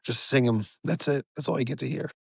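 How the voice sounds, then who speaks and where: A man's voice: medium pitch, steady tone, brisk, gravelly, darkish tone, breathy edge, dry recording, studio quality. A middle-aged American man chatting casually as an interview guest, informal and rambling, with enthusiasm. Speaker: guest musician, rock band singer-songwriter. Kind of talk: casual chat.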